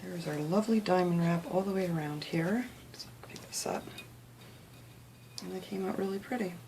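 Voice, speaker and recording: A low-pitched woman talks through a craft project in an easygoing, chatty way, sounding sniffly. She keeps slipping in "you know" while she explains each step. The home recording has clear background noise and clicks. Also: normal speed. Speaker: craft video host. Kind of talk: casual step-by-step craft tutorial.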